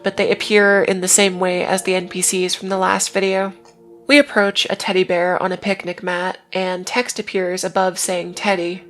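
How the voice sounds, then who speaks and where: A woman reads a scripted video essay in a level, monotone delivery, dropping in dry, wry asides as she goes. Faint music sits quietly under her voice. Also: mid-range voice. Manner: wry asides between plain retelling.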